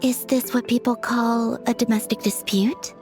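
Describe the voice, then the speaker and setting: A woman playing a composed, capable young woman in a video game, giving gentle, reassuring instructions. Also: a little high, level tone, moderate pace, over music, lightly acted.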